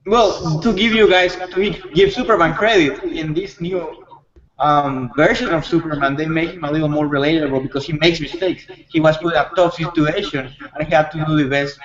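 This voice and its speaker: A man's voice: fairly high pitch, fairly even intonation, moderate pace. Earnest man in a muffled, busy recording. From foreign-born, living abroad. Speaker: panelist in a film discussion. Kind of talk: casual group discussion. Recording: muffled recording amid background chatter.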